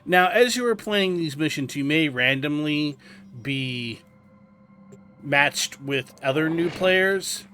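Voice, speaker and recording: A man's voice: on the high side, expressive, takes it slowly, bright, forceful tone, faint music behind. A man hosting a video game guide, explaining things informally as he plays. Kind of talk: casual tutorial commentary over gameplay. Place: indoors, gameplay voice-over recording.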